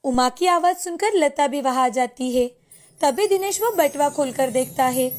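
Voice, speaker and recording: A woman's voice: high voice, moderate pace. A woman narrating a scripted moral story as the storyteller.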